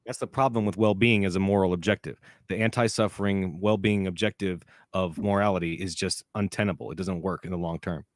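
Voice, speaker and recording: Blunt low-voiced man, dry sound. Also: fairly even intonation, a little fast, hardly any pauses, no echo. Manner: blunt, emphatic, provocative. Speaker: omnivore debater. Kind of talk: online debate.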